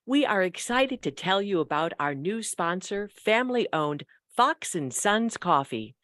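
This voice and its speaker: A woman's voice: medium pitch, big pitch swings, measured pace, fairly bright tone, no room sound. A woman announcer delivers a scripted sponsor read. The recording is close and dry, with no room sound around her. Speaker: announcer reading a sponsor message. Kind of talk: scripted narration.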